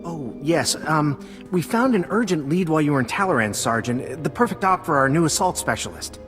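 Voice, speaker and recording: An eager male lieutenant gives an informal game briefing with lively intonation. He is chatty and earnest, with music playing behind him. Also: fairly high pitch, brisk. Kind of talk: video game cutscene acting.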